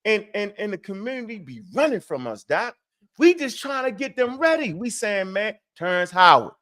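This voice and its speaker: A man co-hosting a live online talk, speaking in an animated way full of streetwise slang and quick rhetorical asides. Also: high voice. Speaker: co-host. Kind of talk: casual live-stream chat.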